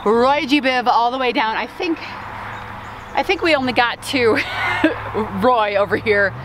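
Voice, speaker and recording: A woman's voice: fairly high pitch, a little slow, music in the background, low-quality recording. A woman in her thirties, a flower farmer from upstate New York, chatting upbeat to viewers as she walks her field outdoors with a handheld camera. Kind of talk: casual farm tour vlog. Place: outdoors in open farm field.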